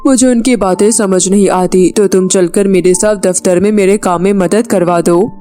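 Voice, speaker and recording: Woman as a mellow, coaxing father. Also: medium pitch, steady tone, moderate pace, soft background music, close to an everyday voice. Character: coaxing, affectionate middle-aged father of a schoolgirl. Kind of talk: children's moral story character acting.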